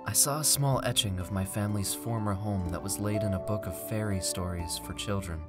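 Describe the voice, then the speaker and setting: A man voicing a driven wandering mage who tells his own life story in measured, theatrical storybook narration. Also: on the low side, close to an everyday voice. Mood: calm, resolved. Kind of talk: dramatic first-person fantasy story narration. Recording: music under the voice. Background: background music.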